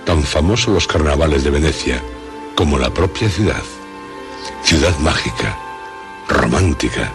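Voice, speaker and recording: Extremely deep-voiced radio presenter, male. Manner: deliberate, suspenseful phrasing in short pieces. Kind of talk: scripted, dramatic radio introduction.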